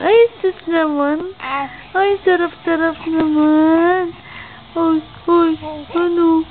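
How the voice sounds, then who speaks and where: High-pitched Filipino mother cooing in baby talk. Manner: cooing, sing-song, repetitive teasing questions. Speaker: mother talking to her baby. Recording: tinny, phone-like home recording.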